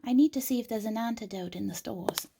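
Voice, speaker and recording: A woman's voice: fairly high pitch, quick pace, creaky, noisy background. A woman voicing a worried female healer who talks in an anxious, urgent way. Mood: alarmed.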